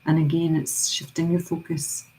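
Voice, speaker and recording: A woman with a low, very mellow and dark voice leads a guided breathing meditation. Her tone is breathy and husky throughout, and she talks in calm, soothing, gently repeated instructions. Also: normal speed, rough recording.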